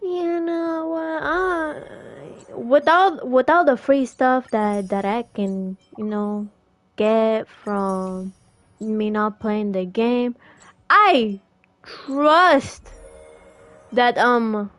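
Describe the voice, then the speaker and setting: A woman game streamer chatting casually in a slangy, halting, rambling way. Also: fairly high pitch, fairly bright tone, dry recording. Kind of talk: casual gaming stream chat.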